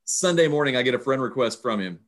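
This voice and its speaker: A man's voice: mid-range voice, expressive, quick pace. A man telling anecdotes in a casual chat, laughing often.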